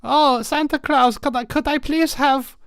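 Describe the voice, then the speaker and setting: A man squeaking as a begging little boy. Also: steady tone, measured pace, vocal fry, fairly bright tone, dry recording, clean studio sound, heavily acted. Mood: pleading. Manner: high, begging, stammering. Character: pleading little boy wanting a toy.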